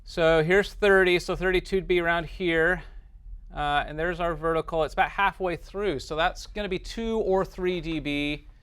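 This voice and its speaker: A man giving an informal software lesson as a teacher, thinking aloud through each step and often checking in with the listener. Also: fairly high pitch, fairly even intonation, moderate pace, smooth. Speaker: teacher, lecturer.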